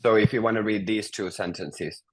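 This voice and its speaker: A man's voice: fairly low pitch, fairly even intonation, normal speed, background noise. A man teaching a beginner language class, patiently prompting students and explaining grammar step by step.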